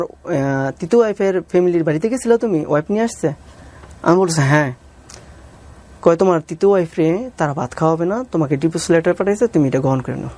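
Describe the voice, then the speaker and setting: A man's voice: sits high, a little mellow. A man with a Bangladeshi accent telling his own story as an interview guest, deferential and re-telling conversations as he goes. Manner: deferential, calls the interviewer sir. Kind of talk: personal storytelling interview with reenacted dialogue.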